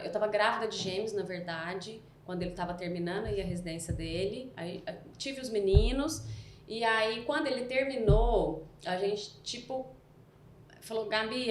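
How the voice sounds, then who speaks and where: Woman, softened Mineiro accent, rambling storyteller, echoey room, faint ambience. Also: mid-range voice, a little hiss or hum. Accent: Mineiro Brazilian Portuguese, softened. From Minas Gerais, Brazil. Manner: rambling, self-correcting storyteller. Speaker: guest, entrepreneur. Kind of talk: casual podcast chat.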